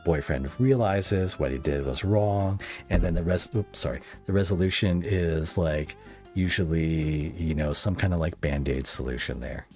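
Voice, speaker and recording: Male podcast speaker, deep register, informal explanatory chat, faint music bed. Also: moderate pace, a little mellow. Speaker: ASMR roleplay video creator.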